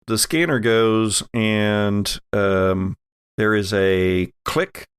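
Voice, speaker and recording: A man narrating a horror tabletop game as game master, halting and pausing mid-phrase as he improvises the scene. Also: lowish voice, fairly even intonation, clean tone, dry recording, clean studio sound.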